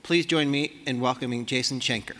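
A man's voice: a little fast, very noisy. A man in his forties formally introducing a speaker from a convention podium. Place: large convention hall stage. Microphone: podium gooseneck microphone. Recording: booming hall reverb.

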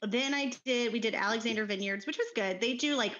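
A woman's voice: a little high, a little fast, fairly bright tone, muffled recording. A middle-aged woman from Texas with a Texan accent, chatting casually and enthusiastically as a podcast guest, with frequent ums and laughs. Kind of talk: casual chat.

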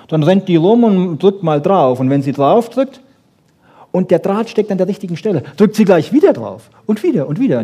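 A man giving a lively popular science lecture, emphatic, with rhetorical questions and short punchy asides. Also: fairly high pitch. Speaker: lecturer on brain research.

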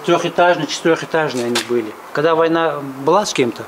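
A man's voice: fairly high pitch, fast talker. A middle-aged man in a mountain village talking plainly to an interviewer, going back over memories and repeating himself as he tells them. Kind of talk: casual outdoor interview. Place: outdoors in a mountain village by a road. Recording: outdoor noise, passing traffic.

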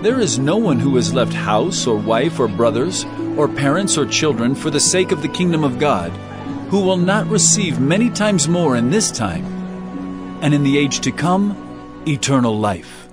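A man's voice: on the high side, sing-song, moderate pace. An actor playing an earnest, solemn male teacher of parables in a dramatized audio Bible, speaking emphatically. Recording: loud background music.

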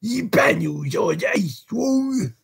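A man voicing a fierce, desperate ruffian in a storybook, shouting with menace. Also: sits high, huge pitch swings, takes it slowly, creaky, slightly rough, pitched above the performer's own voice, exaggerated voice. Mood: urgent.

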